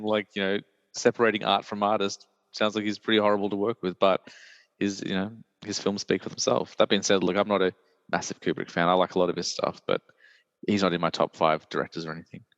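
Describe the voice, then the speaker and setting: A man, hosting a casual film chat in a deep, croaky voice while he recovers from illness, rambling with many fillers. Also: steady tone, a little fast, a bit bright, no room sound, long reverb tail, highs cut off. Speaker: podcast host.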